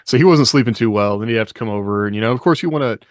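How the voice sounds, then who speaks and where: A man's voice: mid-range voice, very fast, dry recording, studio quality. A man co-hosting a podcast in casual chat, talking in a loose, rambling, conversational way. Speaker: podcast co-host.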